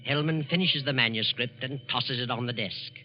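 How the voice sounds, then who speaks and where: A man with an American accent delivering measured, theatrical narration as host of a 1940s radio drama. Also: medium pitch. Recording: muffled 1940s radio recording, loud music.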